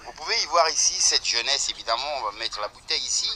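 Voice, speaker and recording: A man's voice: medium pitch, expressive, fast talker, bright, forceful tone. A man chatting enthusiastically and repeating words as he talks. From Belgium. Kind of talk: casual chat.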